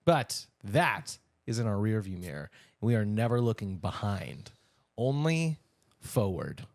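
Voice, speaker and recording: A man's voice: fairly low pitch, very animated, unhurried, darkish tone, dry recording. A man with an American accent chatting casually and playfully as a podcast co-host. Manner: playful, bantering. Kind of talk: casual chat.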